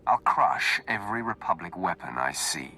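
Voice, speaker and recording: A fierce Sith warrior. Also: male voice, deep voice, animated, normal speed, sharp tone, soft background music, full character voice. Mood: menacing. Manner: aggressive, menacing. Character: fierce young male Sith warrior, hungry for destruction. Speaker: player character.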